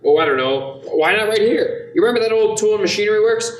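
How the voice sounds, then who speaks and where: A man in a radio play acting an earnest, excitable small-town young man, emphatic and stammering when flustered. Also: high voice, quick pace. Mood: puzzled, earnest. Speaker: actor in a youth group radio play. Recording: echoey room, faint noise.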